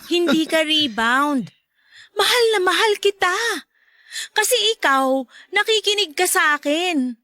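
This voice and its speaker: A woman's voice: extremely high pitch, lively intonation, unhurried, pauses often, pushed and bright, no echo, exaggerated voice. A woman playing an emotional, whiny girlfriend and mother in an audio love-story drama, with a Filipino accent and dramatic delivery. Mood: tender, reassuring. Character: emotional girlfriend, a mother, venting about her ex.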